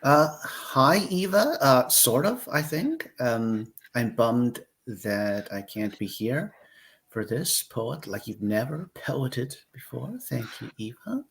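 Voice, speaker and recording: A man's voice: mid-range voice, lively intonation, slow, vocal fry, fairly bright tone. A man hosting a casual writing stream, talking hesitantly with many fillers and a playful tone. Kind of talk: casual chat.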